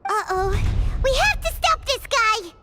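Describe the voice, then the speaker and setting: A woman voicing a tiny, anxious, excitable childlike fairy companion in a video game, with a squeaky voice. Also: unhurried, loud music behind the voice, exaggerated voice. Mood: alarmed.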